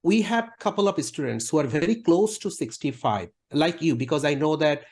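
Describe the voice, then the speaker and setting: A man's voice: on the high side, lively intonation, fairly quick, darkish tone. A man interviewing a student, politely asking questions and summing up her answers at length. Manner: polite, summarizing and wordy. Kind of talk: casual interview.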